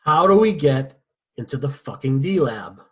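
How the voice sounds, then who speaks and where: A man at a script table read playing a cynical, smug, ruthless mercenary villain with a husky, sardonic delivery. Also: mid-range voice, animated, moderate pace. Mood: impatient, commanding. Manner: sardonic, profane.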